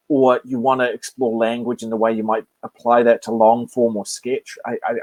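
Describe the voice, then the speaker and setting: A man's voice: medium pitch, a little mellow, no room sound, low-quality recording. A man who teaches improv talks eagerly about his upcoming class over a video call, making self-mocking jokes along the way. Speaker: improv instructor.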